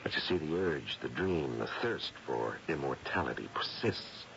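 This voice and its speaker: An actor playing a confident, gray-haired paranormal investigator in an old-time radio mystery, explaining in a deliberate, theatrical way. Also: male voice, a bass voice, lively intonation, creaky, fairly bright tone, full character voice. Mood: grave, ominous. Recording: muffled, noisy radio drama recording.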